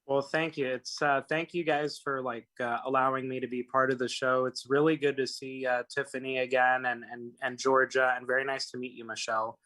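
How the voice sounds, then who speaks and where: A man's voice: mid-range voice, flat delivery, a little fast, hardly any pauses, bright, forceful tone, rough recording. A young man from Los Angeles, a personal injury attorney, talking casually as a guest with lots of fillers and restarts. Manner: rambling, many fillers and restarts. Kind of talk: casual call-in talk.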